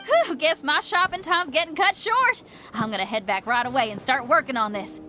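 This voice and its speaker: A brash, lively rocker girl. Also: high-pitched, moderate pace. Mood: confident, eager. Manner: energetic, confident and punchy. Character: bold young female rock musician, brash and upbeat. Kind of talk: game cutscene voice acting. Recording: game audio with clear background music.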